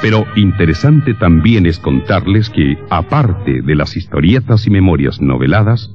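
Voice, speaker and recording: A man's voice: low-pitched, animated, moderate pace. A man announcing an old radio advertisement in a theatrical, showy way, on a dull recording with music.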